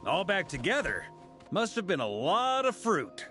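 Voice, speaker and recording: A man's voice: high voice, wildly swooping pitch, unhurried, bright, forceful tone, soft background music, exaggerated voice. A man playing an easygoing, witty young adventurer in a video game, with a casual, playful manner. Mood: easygoing, curious. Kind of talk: video game character acting.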